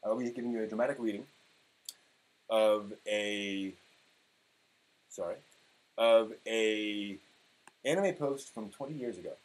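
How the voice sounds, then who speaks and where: A man in his forties giving a mock-dramatic, emphatic reading of an internet post, halting here and there over the text. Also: lowish voice, rough recording. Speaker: performer reading old forum posts. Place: small room at home, indoors.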